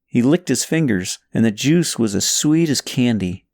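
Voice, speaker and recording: Male audiobook narrator for a parable novel, mid-pitched voice, expressive dramatic storytelling, very dry close sound. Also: moderate pace, no echo.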